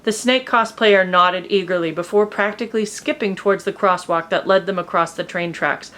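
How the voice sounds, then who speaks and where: A woman reading fan fiction aloud as an expressive, amused comedic narrator. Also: mid-range voice, normal speed.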